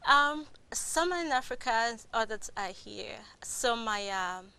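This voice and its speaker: A middle-aged woman nurse with a Cameroonian accent, answering interview questions in a soft, halting way with frequent laughter. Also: on the high side, lively intonation, smooth. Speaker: registered nurse guest.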